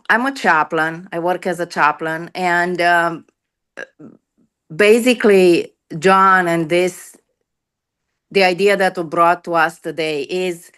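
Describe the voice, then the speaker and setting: Slow, smooth-voiced woman. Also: on the low side, pushed and bright. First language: Romanian. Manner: hesitant, repeats words, many fillers. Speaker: chaplain. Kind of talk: question from the audience on a video call. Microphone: video call.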